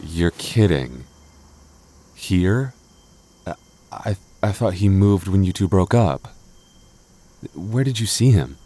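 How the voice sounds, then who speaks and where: A man's voice: low voice, expressive, slow, pauses often, mellow, exaggerated voice. A man playing a jealous, protective young boyfriend in an intimate audio roleplay, defensive and stammering but loving. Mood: surprised, uneasy. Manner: stammering, defensive, teasing asides.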